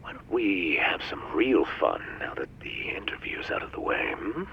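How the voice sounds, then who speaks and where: A man's voice: big pitch swings, moderate pace, creaky, whispered, heavily acted. A man playing a lecherous, smug powerful man in a video game cutscene, in a muffled recording. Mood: lustful, smug. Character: leering, lecherous powerful man toying with prey. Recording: muffled playback, faint rumbling noise.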